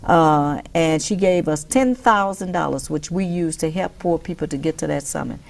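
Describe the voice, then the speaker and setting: A woman organizer recalling her campaign work in an interview, unhurried and restarting phrases with fillers. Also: sits low, creaky. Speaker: welfare rights organizer. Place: dark studio, indoors.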